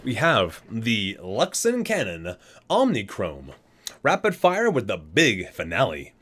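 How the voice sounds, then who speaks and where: A man's voice: on the low side, wildly swooping pitch, slow pace, a bit bright, slightly rough. A man reading aloud in an ASMR style with casual asides, stumbling over words and correcting himself as he goes. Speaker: ASMR video creator reading a game guidebook.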